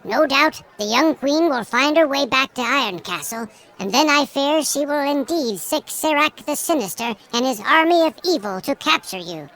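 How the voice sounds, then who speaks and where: A sage little elder mayor played by a woman in a fantasy audio drama, with a fairly high voice. The speech is formal, measured and eloquent, with the air of a grandparent. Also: animated, a bit bright, poor audio. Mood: grave, measured.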